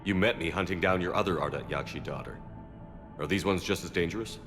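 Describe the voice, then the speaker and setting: A man's voice: deep voice, fast talker, in a large hall, music in the background, lightly acted. A man playing a resolute squad commander in a video game, speaking in a terse, businesslike way. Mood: businesslike, probing. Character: resolute male soldier hero commanding a squad. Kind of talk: video game dramatic dialogue.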